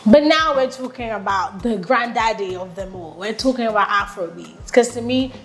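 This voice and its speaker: An enthusiastic woman in her thirties talks through her podcast solo, chatty and full of filler words. She is from Nigeria and sits at a middling pitch. Her voice rings a little in an echoey room. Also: moderate pace. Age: 30s. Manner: enthusiastic, chatty, lots of "like" and "literally". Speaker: podcast host. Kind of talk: casual solo podcast monologue.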